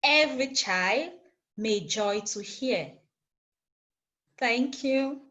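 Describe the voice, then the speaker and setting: A woman with a fairly high voice presents poetry in an informal online group. Her delivery is very slow and deliberate, with each phrase given plenty of time. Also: expressive, a bit bright, lo-fi sound. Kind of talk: informal introduction and poem recitation. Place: online video call.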